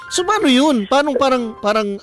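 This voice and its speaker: A man's voice: very high-pitched, lively intonation, moderate pace, fairly bright tone. A man with a Filipino accent hosting a casual radio interview, informal and inquisitive. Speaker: radio host. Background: background music.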